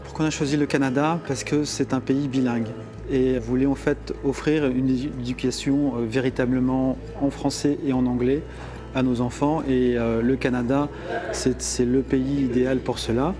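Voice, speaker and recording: A man giving a personal testimonial in an interview, in hesitant, measured phrases. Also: medium pitch, flat delivery. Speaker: immigrant father. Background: music bed.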